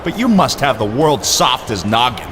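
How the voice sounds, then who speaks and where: Male actor as mocking game wrestling character, lively sarcastic delivery, mid pitch, music behind. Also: normal speed, close to an everyday voice. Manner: teasing, sarcastic. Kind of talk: video game cutscene dialogue.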